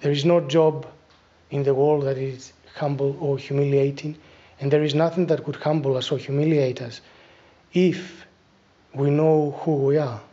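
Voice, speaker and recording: A middle-aged man with a Greek accent giving a spiritual talk as an Orthodox abbot, deliberate and instructive, pausing between phrases. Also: fairly high pitch, steady tone, warm tone, muffled recording. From Greece. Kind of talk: spiritual talk, reflective preaching. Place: small church, indoors at a lectern.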